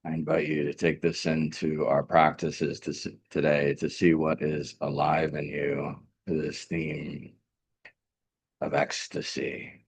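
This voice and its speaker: A man with an exceptionally deep, husky voice guides a meditation. He speaks slowly and gently as a teacher, repeating short phrases and leaving long pauses between them. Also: monotone. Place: live online video meetup.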